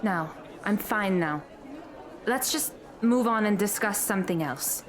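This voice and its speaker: A woman's voice: mid-range voice, animated, unhurried, a faint crowd, slight background noise, natural voice. A woman playing a blunt, touchy teenage schoolgirl in an audio drama, with a dry, cutting delivery. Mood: tense, subdued.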